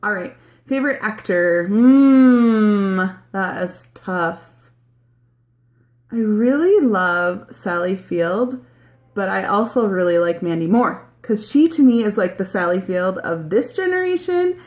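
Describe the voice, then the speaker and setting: A woman's voice: medium pitch, lively intonation, slow pace, darkish tone. Late-thirties woman, casual vlogger, rambly and easygoing. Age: 30s. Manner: chatty, rambling, many ums and likes, laughs easily. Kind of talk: casual chat answering a tag video.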